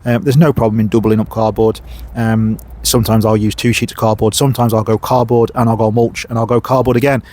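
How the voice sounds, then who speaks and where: A man's voice: lowish voice, little pitch movement, fast, darkish tone. A man hosting a gardening vlog, talking casually outdoors at his allotment, sniffly with a cold and filling his talk with lots of uhs and ums. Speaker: gardening channel host. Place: outdoor allotment by a main road.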